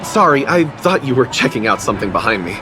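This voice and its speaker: A man plays a lonely young heir who speaks softly and hesitantly, with a lively, wandering pitch. Clear game music runs under his lines. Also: fast talker, close to an everyday voice. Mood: surprised. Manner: hesitant, soft, wistful. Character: shy lonely young man, son of a powerful family. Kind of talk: video game voice acting.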